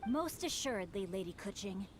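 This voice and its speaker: A woman's voice: mid-range voice, animated, a little fast, background noise, natural voice. A woman voicing a courteous, bookish boy of a wealthy house in a video game, formal and flowery. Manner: genteel, formal, flowery wording. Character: courteous, bookish, chivalrous boy, young master of guild. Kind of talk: anime-style video game voice acting. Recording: music behind the voice.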